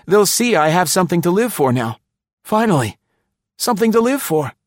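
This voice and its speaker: A man's voice: high-pitched, sing-song, moderate pace, no room sound, voice pushed up. A man voicing a paranoid, fearful middle-aged man who turns bitter and desperate, near sobbing. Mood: pleading. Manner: frantic, pleading, close to sobbing.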